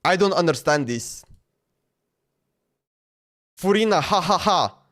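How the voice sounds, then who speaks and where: A man's voice: high-pitched, expressive, takes it slowly, fairly bright tone. A man livestreamer chatting casually in a slangy, exclamatory way as he reacts, often repeating himself. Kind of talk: casual reaction stream chat. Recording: background music under voice. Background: music.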